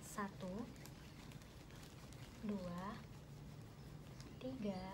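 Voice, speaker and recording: A woman's voice: medium pitch, very slow, background noise. A young woman, a dental radiography student, politely walks a patient through a procedure and then narrates the steps of a skills lab demonstration. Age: young adult. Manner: polite, step-by-step explaining, formally addresses the patient. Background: loud music.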